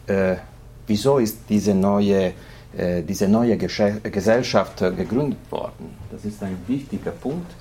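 A man from Italy with an Italian accent, presenting an informal lecture in a halting way, searching for words in a language not his native one. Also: fairly low pitch, mellow, slight background noise.

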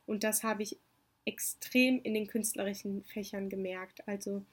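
A young woman whose first language is Turkish, calmly giving orderly interview answers about her semesters abroad. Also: mid-range voice, normal speed, slightly rough. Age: young adult. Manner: calm, orderly, explanatory. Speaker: exchange student interviewee.

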